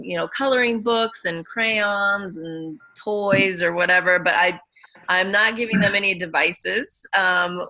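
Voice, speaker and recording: A woman's voice: fairly low pitch, bright, forceful tone, poor audio. A woman in her thirties from Brazil, a guest in a casual interview, friendly and upbeat, laughing easily. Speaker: guest mother.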